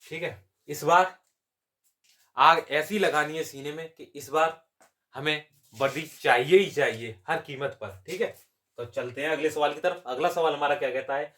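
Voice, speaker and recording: Male tutor, fairly high voice, explains step by step and answers his own questions. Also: normal speed, fairly bright tone. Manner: asks questions and answers them himself. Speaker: exam coaching maths teacher. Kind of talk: step-by-step lesson.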